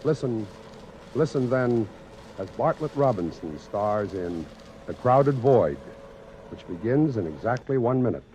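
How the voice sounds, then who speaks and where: A man introduces a vintage radio suspense drama as its host. His solemn, scripted delivery moves animatedly around a middling pitch. There is faint noise on the old broadcast. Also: lively intonation, measured pace, mellow, rough recording. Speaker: radio series host and narrator.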